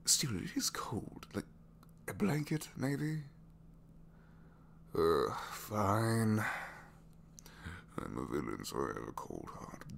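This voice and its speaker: A man's voice: fairly low pitch, big pitch swings, slow, gravelly, pauses often, heavily acted. A man voicing a reluctant captured supervillain, dry, sheepish and complaining, in a hesitant, rambling way, for an intimate ASMR roleplay. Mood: embarrassed, exasperated, then bored, wry. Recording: faint background noise.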